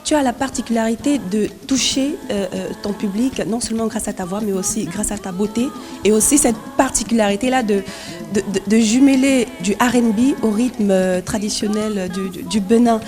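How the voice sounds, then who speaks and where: A woman's voice: medium pitch, mellow. A woman hosting a music TV show, asking questions with admiring enthusiasm and stammered restarts. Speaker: TV show host. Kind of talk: music TV interview and presenting. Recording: music playing under the voice.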